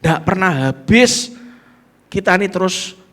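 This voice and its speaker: A man's voice: sits high, measured pace, fairly bright tone. A man with a Javanese accent preaching a sermon as pastor, emphatic, calling the congregation to say amen and slipping into local phrases.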